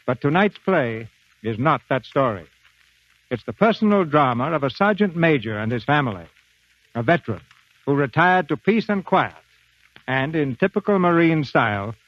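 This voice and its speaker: An American man hosting and narrating a 1940s radio theater program in a formal, measured, polished announcer style. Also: on the high side, no room sound, highs cut off. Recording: dry, band-limited 1940s radio broadcast.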